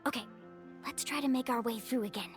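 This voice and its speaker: A woman's voice: fairly high pitch, fairly quick, faint music behind, natural voice. A woman playing a spirited, friendly young guide in a video game, speaking in an upbeat, confident way.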